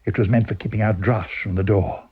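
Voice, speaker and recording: A man's voice: fairly low pitch, fairly even intonation, fairly quick, husky, narrow, tinny audio. A man with a British accent reading a story aloud as dramatic scripted narration. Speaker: story reader.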